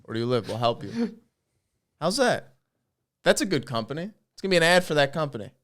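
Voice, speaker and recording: A man's voice: fairly high pitch, sing-song, fairly quick, a bit bright. A man co-hosting a comedy podcast, playful and laughing, often repeating punchlines. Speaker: podcast co-host. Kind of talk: casual comedy chat.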